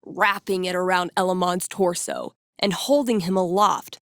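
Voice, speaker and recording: A woman's voice: on the low side, fairly even intonation, normal speed, dry recording, studio quality, natural voice. An actress playing a wry, slangy young woman who DJs and runs tabletop games, in a video game. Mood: dramatic narrating. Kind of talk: video game character inner monologue.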